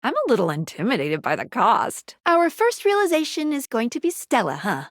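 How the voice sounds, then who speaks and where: Perky, high-pitched game guide. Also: female voice, normal speed, no room sound, clean studio sound. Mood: nervous, hesitant. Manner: chipper, quippy, expressive. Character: perky, earnest female dateable-object guide, eager and nervous. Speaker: game character guiding the player. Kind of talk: animated video game voice acting.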